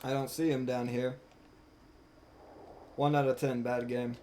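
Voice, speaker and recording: A man doing live gameplay commentary as a streamer, excitable and given to swearing. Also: mid-range voice, a little slow, slight background noise. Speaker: game streamer. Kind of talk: live gameplay commentary, reading dialogue aloud. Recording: music behind the voice.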